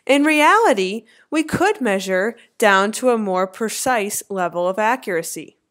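A woman in her thirties narrating a slideshow lecture as an instructor, with clear, lively teacherly emphasis. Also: medium pitch, unhurried. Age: 30s.

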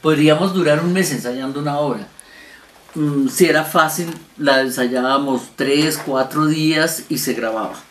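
Roomy, higher-voiced man. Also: moderate pace, a bit bright. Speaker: veteran radio actor. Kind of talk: reminiscing interview. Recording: roomy, faint background noise.

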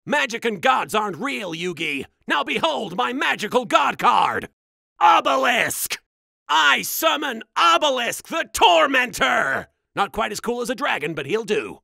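A man's voice: very high voice, big pitch swings, a little slow, vocal fry, no room sound, studio quality, pitched above the performer's own voice, full character voice. A man voicing an arrogant, pompous, rich young card duelist in a bombastic video game parody. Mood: bombastic, commanding. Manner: bombastic, shouts attack calls.

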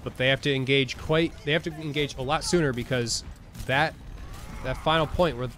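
A man casting a tournament match of a video game, with excited play-by-play and casual asides, sniffling from a cold. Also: medium pitch, normal speed, noisy background. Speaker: esports caster. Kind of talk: game commentary.

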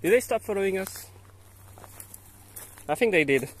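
A man's voice: high voice, animated, normal speed, slight background noise. A young man, a native French speaker, chatting casually and laughing often while hiking outdoors, filming on a handheld camera. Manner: giggly, coaxing, laughs often. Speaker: vlogger. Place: outdoors on a dirt hillside path. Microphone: handheld camera filming while walking.

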